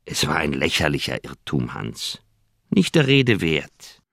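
An actor playing a troubled, well-meaning old doctor in a radio crime drama, hesitant and evasive. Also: male voice, rumbling low, big pitch swings, a little slow, gravelly, fairly bright tone, dry recording, studio quality, heavily acted. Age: older.

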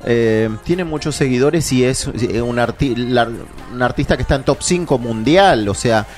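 Man, mid pitch, hesitant, fillers, radio host, faint music. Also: moderate pace. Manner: hesitant, many fillers, laughs easily. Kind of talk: casual radio music chat.

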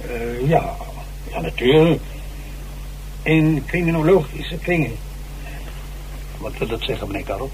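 An actor playing an ageing, self-dramatising stage actor jailed for murder, halting and theatrical, in a radio drama. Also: male voice, mid-range voice, animated, darkish tone, close to an everyday voice, rough recording. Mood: intrigued. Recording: hissy old radio recording.

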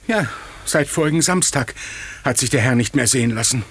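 A man's voice: mid-range voice, lively intonation, fairly quick, a bit bright, breathy edge. A man playing a deferential, servile estate steward in a radio play, earnest and obsequious. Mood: deferential, anxious. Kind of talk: 1950s German radio play acting.

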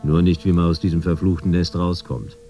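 An actor playing a weary, sardonic private detective who narrates and talks in laconic, dry lines in a radio drama. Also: male voice, sits low, steady tone, a little fast, dark tone, faint music behind, natural voice. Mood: sardonic narration. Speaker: narrator and lead character.

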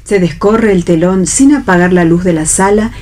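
A woman's voice: lowish voice, normal speed, a little mellow. A woman with an Argentine accent reading stage directions as narrator of a radio play.

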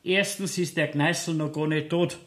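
An actor playing a gruff, pedantic court office clerk in a radio play. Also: male voice, on the high side, fairly even intonation, unhurried, close to an everyday voice. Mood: officious, scolding. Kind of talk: 1970s German radio play acting.

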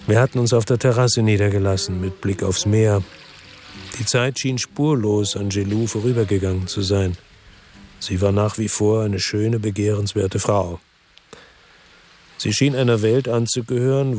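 An actor as a weary ex-cop narrator. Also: male voice, on the low side, normal speed, faint music behind, close to an everyday voice. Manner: calm, reflective first-person narration. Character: world-weary ex-cop, middle-aged man, lives by the sea. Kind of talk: radio drama narration.

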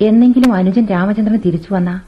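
A woman playing a sorrowful, bitter housemaid in a Malayalam radio drama, speaking in a deliberate, literary and emotive way. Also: mid-range voice, breathy edge, dull audio, natural voice. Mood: somber. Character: sorrowful, bitter housemaid confiding her ruined life.

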